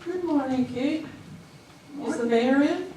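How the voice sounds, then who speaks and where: A woman's voice: high-pitched, warm tone. A woman playing a pushy, persistent small-town news reporter in an amateur stage comedy. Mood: brisk. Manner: insistent, brisk questioning. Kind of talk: amateur readers theater comedy. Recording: very echoey room, distant mic, faint noise.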